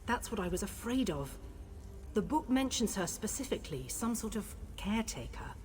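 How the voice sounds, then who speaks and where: An actress playing a composed, scholarly woman who leads an expedition in a fantasy game, speaking in a measured, articulate and earnest way. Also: medium pitch, very animated, a little mellow, slight background noise, lightly acted. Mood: worried. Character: scholarly female expedition leader, composed and concerned. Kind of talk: scripted fantasy game dialogue.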